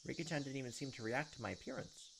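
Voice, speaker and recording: A man's voice: medium pitch, fast talker, gravelly, background noise. A man reading visual novel narration aloud as a gameplay host, breaking in with blunt, informal reactions. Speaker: let's play host. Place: indoors at a desk, webcam gameplay. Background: game music, insect sounds.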